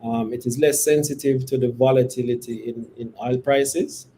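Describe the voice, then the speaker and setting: A man with a mellow, relaxed voice answers investor questions as a company executive. He phrases things carefully and hesitantly, and there is steady noise in the background. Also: medium pitch, normal speed.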